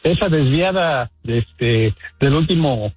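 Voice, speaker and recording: A man's voice: medium pitch, lively intonation, slow pace, breathy edge, no echo. A Mexican man calling a sports radio show, chatting casually in a rambling, bantering way over a phone line. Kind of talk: casual chat. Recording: dull phone call audio.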